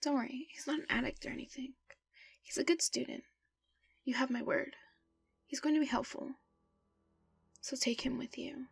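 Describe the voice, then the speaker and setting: A woman playing a commanding middle-aged school principal who runs a criminal crew, husky and creaky, measured and businesslike, in an anime-style fan dub. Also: high-pitched, steady tone, heavily acted. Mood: calm insistence.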